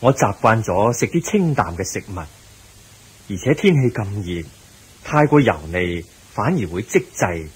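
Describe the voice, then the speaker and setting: A man with a Hong Kong Cantonese accent playing a kindly, wise Buddha in a radio drama, gentle, measured and instructive. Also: lowish voice, sing-song, slightly rough, exaggerated voice, rough recording. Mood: polite, calm.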